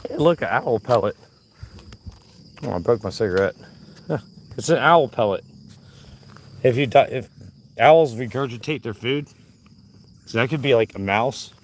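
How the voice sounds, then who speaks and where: A man's voice: medium pitch, expressive, normal speed, long pauses, a little hiss or hum. A man, chatting and grumbling to himself while hunting outdoors for his video. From South Carolina. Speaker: vlogger. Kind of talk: casual rambling commentary. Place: outdoors in nature. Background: insects and crickets.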